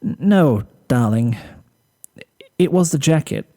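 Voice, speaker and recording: A man's voice: a little high, animated, slow, warm tone, voice pushed up. A man voicing a prim, fussy young female unicorn with affected, dramatic politeness in a story reading. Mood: strained, hesitant.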